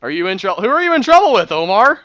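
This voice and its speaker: A man in his thirties, excitable and rambling, chatting casually while playing a building game. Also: high voice, quick pace, dry recording. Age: 30s. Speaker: Minecraft builder and streamer. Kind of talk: casual commentary while gaming.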